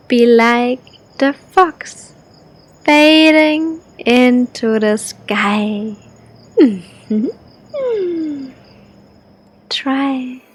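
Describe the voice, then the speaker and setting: A woman leading a guided sleep meditation, speaking in short, deliberate, spaced-out phrases with gentle instruction. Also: fairly high pitch.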